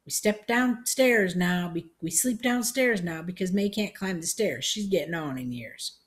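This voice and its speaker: A woman's voice: fairly low pitch, measured pace, lightly acted. A woman voicing a tiny, ancient, feisty old soldier in a storybook, piping and eager. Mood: earnest.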